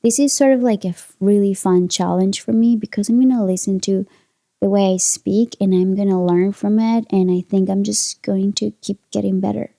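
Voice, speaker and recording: A woman's voice: medium pitch, fairly even intonation, normal speed, creaky, mellow, dry recording. A young woman whose first language is Spanish, hosting a podcast with an earnest, encouraging monologue that repeats key phrases. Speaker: podcast host.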